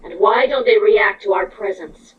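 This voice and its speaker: A woman's voice: mid-range voice, moderate pace, lo-fi sound, lightly acted. A woman playing a proud, irritable insect-like queen with a grudging, haughty, curt manner in an amateur fan fiction drama. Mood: wary, demanding.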